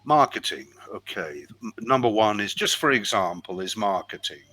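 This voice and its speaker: A man's voice: lowish voice, expressive, moderate pace, pushed and bright, breathy edge, dry recording. A British man tutoring English over a video call, friendly and chatty, explaining things step by step. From United Kingdom. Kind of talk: online tutoring chat.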